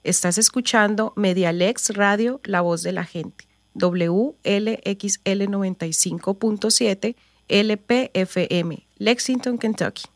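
A woman's voice: mid-range voice, slow, no room sound. A woman reading a radio station ID. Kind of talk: recorded station ID.

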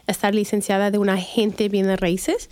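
A woman's voice: mid-range voice, flat delivery, moderate pace, a little mellow, no room sound. A middle-aged woman from Chicago hosting a radio show, talking casually with many fillers and restarts. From Chicago, USA. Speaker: radio show host, real estate agent.

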